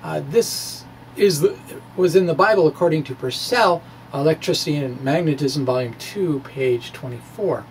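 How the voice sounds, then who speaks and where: A man's voice: fairly high pitch, normal speed. Middle-aged man, noisy home recording. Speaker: science video blogger. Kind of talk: informal physics explanation to camera. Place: small indoor room with bookshelves. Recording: clear steady background noise.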